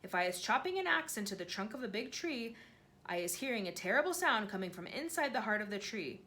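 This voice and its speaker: A woman voicing a huge, gentle male giant who speaks with jumbled grammar and made-up words, earnest and full of wonder. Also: medium pitch, big pitch swings, brisk.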